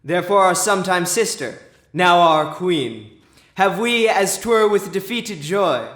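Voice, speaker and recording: A man's voice: high-pitched, animated, unhurried, a bit bright, echoey room. A man playing a smug, jovial king addressing his court in a theatrical Shakespearean audio drama. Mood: stately, solemn. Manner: theatrical, declamatory.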